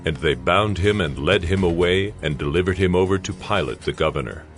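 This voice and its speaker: Casting: bass-register man for scripted Bible narration, gentle music bed. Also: a little fast.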